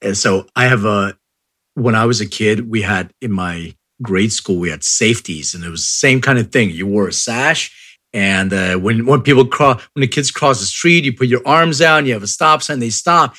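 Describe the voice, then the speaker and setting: A man's voice: on the low side, normal speed, bright, forceful tone, no room sound, studio quality. A man hosting an interview, asking rambling, jokey questions in a casual chat. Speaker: interviewer, host.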